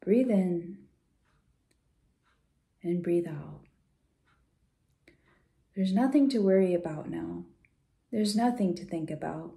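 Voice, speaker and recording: Woman, guided visualization, very dark relaxed tone, slow pace, creaky, soft, gentle pauses. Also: on the low side. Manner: soothing, gentle, unhurried with pauses.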